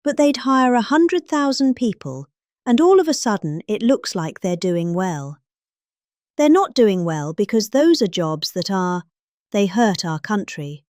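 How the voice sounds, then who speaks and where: A middle-aged British woman reads out a political speech as a performance. Her pitch swings up and down with exaggerated emphasis on key words. The recording is dry and close, with no room sound. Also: animated, unhurried, a little mellow, no echo. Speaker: reader. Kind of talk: dramatic reading of a political speech.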